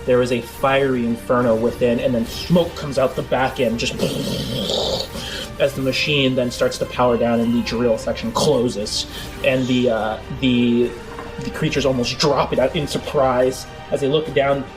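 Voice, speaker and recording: A man improvising halting, descriptive narration as the game master of a tabletop role-playing game. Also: mid-range voice, fairly even intonation, low-quality recording. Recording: music bed under the voice. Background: background music.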